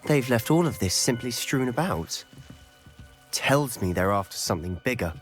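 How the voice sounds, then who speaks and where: Man acting lively, wry schoolboy wizard. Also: mid-range voice, moderate pace, faint music behind, lightly acted. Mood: curious, sceptical. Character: sceptical, wry teenage schoolboy wizard, a loyal friend. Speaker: companion character in a video game. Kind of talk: scripted game dialogue.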